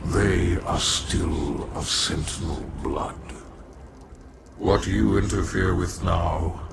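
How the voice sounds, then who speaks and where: A menacing otherworldly male herald in a video game. The voice is deep and heavily gravelled, with slow, portentous, forbidding delivery over loud music. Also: heavy background noise, heavily acted. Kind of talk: dramatic video game cutscene acting.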